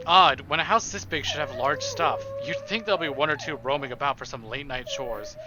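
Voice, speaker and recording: A man's voice: fairly high pitch, a little fast, over loud music, low-quality recording. A man streaming a game, reading narration aloud expressively and dropping in casual, playful asides.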